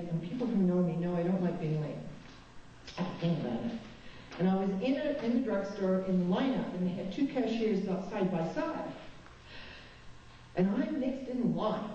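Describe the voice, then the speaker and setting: A woman's voice: medium pitch, dark tone, distant mic, slight background noise. A woman from New Zealand, a guest speaker at a spiritualist chapel, giving a spiritual talk with candid, self-mocking personal stories. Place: chapel hall. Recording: echoey dull hall recording from afar.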